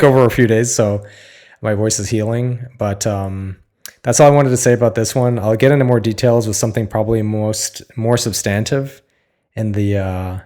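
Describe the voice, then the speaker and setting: A slightly hoarse man talking candidly in a solo podcast, weary and confessional, halting often to restart his thoughts. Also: medium pitch, a little mellow. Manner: halting, searching, with many restarts and fillers. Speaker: podcast host.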